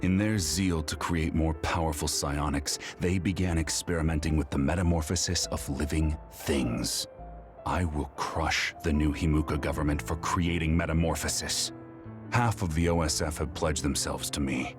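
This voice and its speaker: Very deep-voiced scornful general. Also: male voice, fairly even intonation, moderate pace. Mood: cold, resolute. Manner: disdainful, commanding. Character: cold, scornful major general turned rebel commander. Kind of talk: video game cutscene acting. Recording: music behind the voice.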